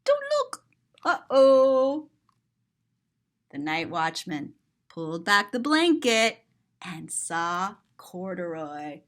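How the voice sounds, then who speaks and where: A woman's voice: fairly low pitch, very animated, extremely slow, long pauses, fairly bright tone. A woman reading aloud to children as a storyteller, expressively, with simple explanatory asides and dramatic gasps. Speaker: storyteller, author and teacher.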